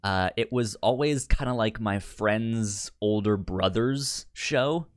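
A man with a fairly low voice chats casually as a podcast co-host. He stammers often, repeating the first sounds of words, and the recording is dry and close, with little room sound. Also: slow, no echo. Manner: stammering, repeats word starts. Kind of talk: casual chat about TV sitcoms.

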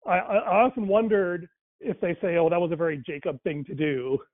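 A man's voice: high voice, lively intonation, a little fast. A man whose first language is Tamil talks casually about faith and language, thinking aloud with many fillers and restarts. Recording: tinny phone-like line, dry.

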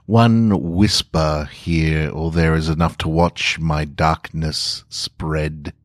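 A man voicing a patient, gloating male spirit of evil, musing slowly and quietly to himself in a scripted first-person story reading. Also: very deep voice, steady tone, dry recording, clean studio sound. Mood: smug.

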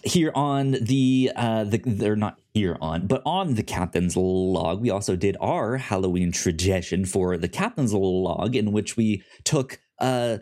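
A man's voice: on the low side, animated, a little slow, hardly any pauses, warm tone. A man co-hosting a casual podcast, chatty, often stumbling and restarting his words. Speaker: podcast co-host.

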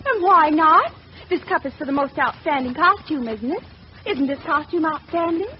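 A woman's voice: sits high, animated, moderate pace. An actress playing a bossy, wheedling teenage girl in a theatrical 1940s radio comedy style. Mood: scheming. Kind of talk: 1940s radio sitcom acting. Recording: dull, noisy old radio recording with studio audience.

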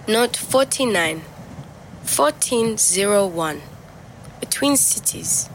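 Young migrant woman, introspective audio-drama diarist, unhurried, faint noise behind. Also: mid-range voice, fairly bright tone, rough recording. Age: young adult. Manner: measured, introspective, short fragmented phrases. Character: reflective young African migrant woman recording private night-time notes. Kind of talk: scripted dramatic monologue as audio diary.

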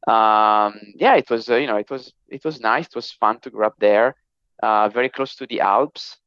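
A man's voice: on the low side, moderate pace, fairly bright tone, clean tone, no room sound, muffled recording. A man in his thirties from northern Italy, speaking English with an Italian accent as a podcast guest, hesitant with many fillers and restarts. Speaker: podcast guest, technology executive. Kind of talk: casual interview talk.

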